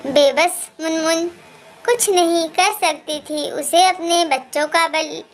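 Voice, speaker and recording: Girly really high voice, woman reading a kids story, slow. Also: fairly bright tone, a little hiss or hum. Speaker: narrator. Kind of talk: scripted children's story narration.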